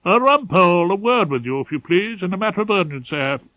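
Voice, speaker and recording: A high, flustered, pompous barrister. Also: male voice, expressive, a little fast, bright, forceful tone, no room sound, low-quality recording. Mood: officious. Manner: hesitant, formal, prim. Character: pompous, flustered, scandalised middle-aged male head barrister. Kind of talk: audiobook character voice.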